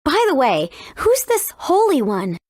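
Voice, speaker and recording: A woman playing a spirited, confident teenage girl in a video game, brisk and questioning. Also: high voice, wildly swooping pitch, darkish tone, no echo, clean studio sound, exaggerated voice. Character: spirited teenage heroine, impatient and sure of herself.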